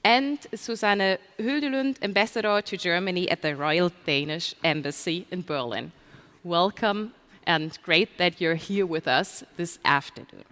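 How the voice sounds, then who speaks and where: A woman's voice: on the low side, unhurried, a bit bright. A woman hosting a panel discussion as conference moderator. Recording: echoey hall reverb.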